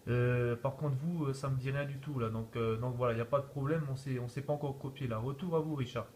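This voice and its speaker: A man's voice: mid-range voice, steady tone, fairly quick, a little mellow. A man from northeast France chatting casually as an amateur radio operator, peppering his phrases with little verbal tags. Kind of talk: casual radio contact chat. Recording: faint background noise.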